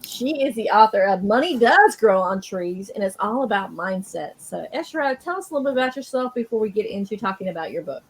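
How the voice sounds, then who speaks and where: A woman hosting a casual interview show, with an upbeat and folksy way of talking. Her voice sits at a middle pitch, and she moves quickly into her questions. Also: lively intonation, breathy edge, poor audio. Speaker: podcast host.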